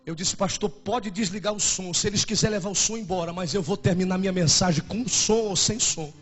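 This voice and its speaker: Fervent young Brazilian preacher, high voice. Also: male voice, fairly quick, fairly bright tone, soft background music. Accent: Brazilian Portuguese. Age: 20s. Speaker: pastor. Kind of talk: sermon.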